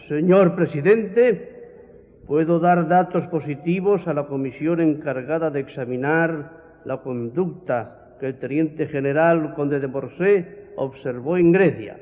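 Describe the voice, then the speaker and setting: An authoritative parliamentary president in radio drama style. His slightly high voice is formal and measured. It rings in a long reverb with a thin, phone-like sound. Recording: reverberant hall, tinny narrow-band sound.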